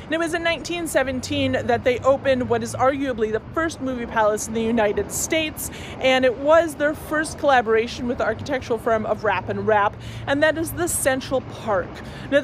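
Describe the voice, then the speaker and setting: A young woman tour guide talks to her phone camera on a city street, chatty and enthusiastic, with little asides. Her voice sits on the higher side. Street noise and passing traffic carry clearly under her. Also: brisk. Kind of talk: walking-tour presentation to camera. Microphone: phone camera at arm's length.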